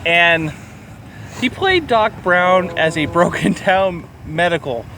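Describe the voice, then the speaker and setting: A man co-hosting a podcast, chatting casually about films in an animated, sometimes crude way. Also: high-pitched, takes it slowly, slight background noise, low-quality recording. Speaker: podcast co-host. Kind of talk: casual film review chat.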